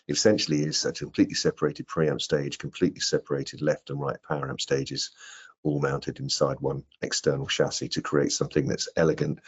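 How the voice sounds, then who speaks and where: Older male presenter, rock-bottom husky register, measured webinar delivery, muffled call audio. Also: rumbling low, darkish tone. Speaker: product trainer. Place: indoor room on a video call.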